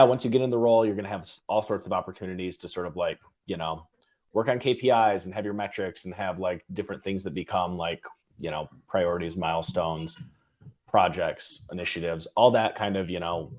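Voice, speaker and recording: A man hosting an interview in a casual, halting way, thinking aloud in fragments. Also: low voice, a little mellow. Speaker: interview host.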